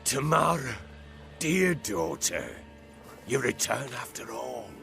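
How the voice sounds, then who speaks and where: A man playing a rough, drunken, remorseful father in a video game, speaking in a heavy, wheedling, emotional way. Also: mid-range voice, sing-song, slow, bright, forceful tone, faint music behind, exaggerated voice. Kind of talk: video game cutscene acting.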